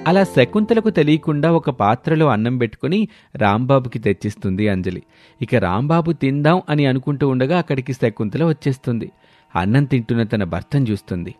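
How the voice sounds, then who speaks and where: A man's voice: mid-range voice, animated, brisk, a little mellow, no echo, faint music behind. A man narrating a scripted moral story as a storyteller.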